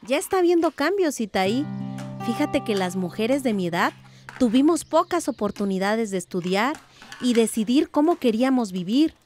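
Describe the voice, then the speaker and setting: A woman's voice: fairly high pitch, animated, normal speed, a little mellow, faint music behind, lightly acted. A woman playing a thoughtful, determined middle-aged village aunt in a radio drama, speaking earnestly and reflectively.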